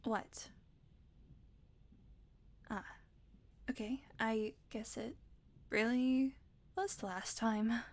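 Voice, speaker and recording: A woman acting as a wounded vampire woman in an audio roleplay. Her voice is fairly high and unhurried, often slipping into breathy asides. She is sardonic and teasing, though tired and weak. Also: steady tone. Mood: subdued, musing. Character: weakened captive female vampire, wry, teasing, wounded.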